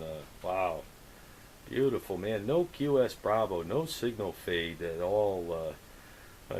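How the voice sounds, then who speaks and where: Guy with a lowish voice doing ham radio chat, gushing, lots of jargon, bit of hiss. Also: a little mellow. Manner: effusive, drawn-out radio jargon. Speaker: amateur radio operator. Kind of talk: casual radio contact chat.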